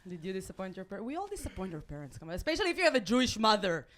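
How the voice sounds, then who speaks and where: A woman in her late twenties from Israel, with an Israeli accent and Hebrew as her first language, performing stand-up with deadpan, self-mocking delivery and halting restarts. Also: medium pitch, a loud crowd. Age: late 20s. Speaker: stand-up comedian. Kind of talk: stand-up routine. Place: comedy club stage with a live audience.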